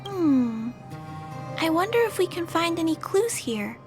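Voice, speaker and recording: Sweet earnest little game girl, high pitched, thinking out loud, music playing behind her. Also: sing-song, measured pace, full character voice. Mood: curious, pondering. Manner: polite, thoughtful, musing aloud. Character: earnest, gentle, curious young girl investigating robots. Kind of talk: video game voice acting.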